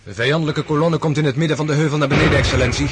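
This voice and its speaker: An actor playing an observant, respectful aristocratic officer in a radio war drama. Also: male voice, fairly high pitch, steady tone, rapid-fire, close to an everyday voice. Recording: loud gunfire and battle noise behind.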